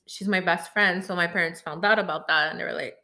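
Woman, bright voice, podcast co-host, casual chat, animated, laughs a lot. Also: lowish voice, quick pace. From Lebanese family. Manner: animated, laughs often, acts out quoted people.

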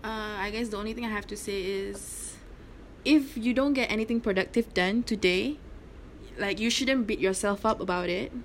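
A woman's voice: mid-range voice, moderate pace. Playful woman co-host, faint background hiss. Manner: playful, laughs often, teasing. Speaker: podcast co-host. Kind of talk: casual chat. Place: remote call from home.

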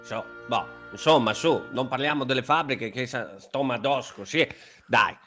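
A man acts out a boastful but shabby count in a comic radio play. His male voice is medium in pitch, lazy and colloquial, moving in halting bursts. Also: sharp tone, faint music behind. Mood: evasive. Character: lazy, boastful, down-at-heel count living off income. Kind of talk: improvised comic radio sketch in costume.

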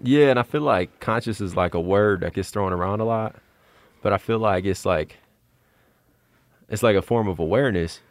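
A man's voice: fairly low pitch, dry recording. An American man in reflective, informal conversation, feeling his way through thoughts with lots of repeated phrases. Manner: informal, halting, filler "like" and "you know what I mean". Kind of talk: casual reflective chat.

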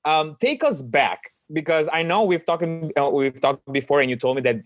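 A man's voice: fairly high pitch, animated, normal speed, dry recording. A man hosting a podcast in a casual, conversational interviewing style. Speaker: podcast host.

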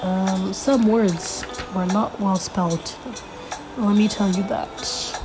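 A young woman hosting a casual solo podcast, a little congested from being sick, rambling with lots of fillers as she thinks out loud. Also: medium pitch, steady tone, slow, over music, poor audio. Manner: rambling, filler-heavy, thinking aloud while flipping pages. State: congested from a recent illness. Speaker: podcast host.

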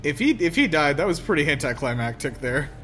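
A man's voice: on the high side, sing-song, a little fast, pushed and bright, faint music behind. A man hosting casual film commentary, incredulous and joking, in a conversational style. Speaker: podcast host giving film commentary. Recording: film soundtrack playing behind.

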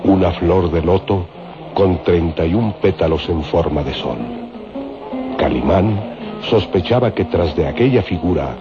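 A man's voice: low voice, a little slow. A man delivering solemn, dramatic scripted narration for a radio serial. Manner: solemn, descriptive storytelling. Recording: dull radio recording with music.

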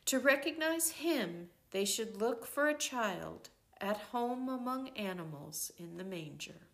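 An older woman reading a devotional book aloud in a gentle, measured way, pausing between phrases. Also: medium pitch, animated, vocal fry. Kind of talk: slow reflective book reading.

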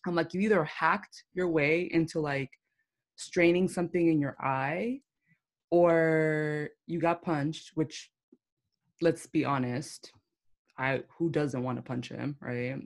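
A woman co-hosting a podcast in casual chat, playful and chatty with many "like" fillers and a low, deep voice. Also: fairly even intonation, slow. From United States. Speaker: podcast co-host.

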